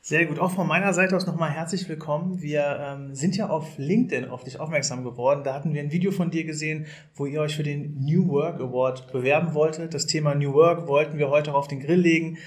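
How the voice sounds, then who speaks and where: A man's voice: fairly high pitch, fairly even intonation, brisk, hardly any pauses. A man co-hosting a podcast, welcoming and questioning a guest in a conversational interview style. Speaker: podcast co-host.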